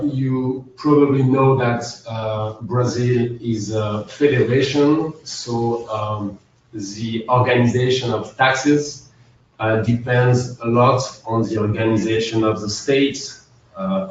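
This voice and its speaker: A man's voice: mid-range voice, fairly even intonation, slow pace, a little hiss or hum. A man with a French accent, an accountant giving a methodical, structured webinar presentation. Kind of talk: business presentation. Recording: echoey, distant, dull webinar audio.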